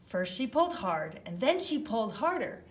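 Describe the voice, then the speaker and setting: A woman narrating a children's storybook read-aloud with expressive emphasis. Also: on the high side, moderate pace, slight background noise.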